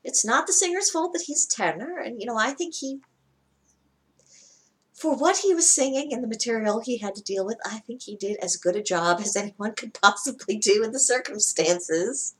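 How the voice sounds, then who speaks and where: A woman with a lower-pitched voice giving casual spoken commentary as a vlogger, wry and chatty, often laughing mid-sentence. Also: animated, normal speed, a bit bright. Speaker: vlogger reacting to a broadcast.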